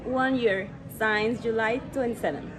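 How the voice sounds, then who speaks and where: A woman's voice: a little high, measured pace, a crowd in the background. A woman from Ecuador with a Latin American Spanish accent, briefly introducing herself as a trainer. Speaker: personal trainer, interview guest.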